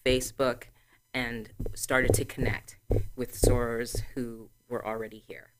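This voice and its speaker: A woman in her forties from Trinidad, a guest answering interview questions in a measured, explanatory way. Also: rumbling low, flat delivery, very noisy. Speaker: guest, sorority chapter president.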